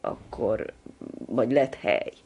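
A woman's voice: rumbling low, expressive, vocal fry, slightly rough, lo-fi sound. An older woman talks as an interviewed ethnographer. She recounts anecdotes and explains them in a conversational interview style.

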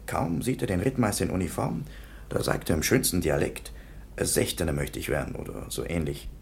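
Deep gravelly police commissioner, tired, dry and matter-of-fact. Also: male voice, sing-song, moderate pace, slight background noise, full character voice. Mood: stern. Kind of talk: radio play acting.

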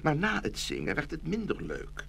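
A man narrating a children's fairy tale in an expressive scripted storytelling style. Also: a little high, moderate pace, vocal fry. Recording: faint background noise.

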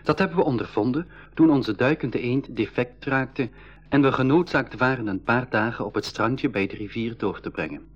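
A man's voice: medium pitch, moderate pace, natural voice. A man playing an eager young boy adventurer who narrates his journey in a school radio drama. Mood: measured, wondering. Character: eager boy adventurer, Marleen's younger brother, telling the tale. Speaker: narrator and character in a radio play. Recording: dull 1980s radio recording.

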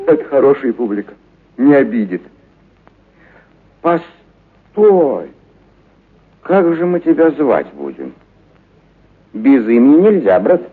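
A slow-talking fussy animal trainer in a radio play, coaxing n exclaiming, muffled tinny sound. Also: male voice, medium pitch, slightly rough, heavily acted. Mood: soothing, affectionate. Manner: exclaiming, coaxing, repeating commands. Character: kindly eccentric animal trainer, bossy yet affectionate with his beasts. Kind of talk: theatrical radio story acting. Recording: muffled narrow-band radio recording.

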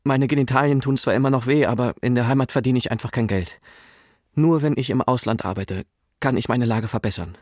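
An actor playing a plain, weary storyteller, giving a measured, matter-of-fact scripted monologue. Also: male voice, mid-range voice, darkish tone, dry recording, studio quality, close to an everyday voice. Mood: sober.

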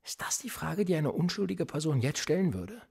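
An actor playing a cynical, sly police interrogator in a radio play, with an insinuating, coolly threatening manner. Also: male voice, fairly high pitch, big pitch swings, brisk, creaky, a little mellow, slightly rough, exaggerated voice. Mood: mocking, pressing. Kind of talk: naturalistic German radio play acting.

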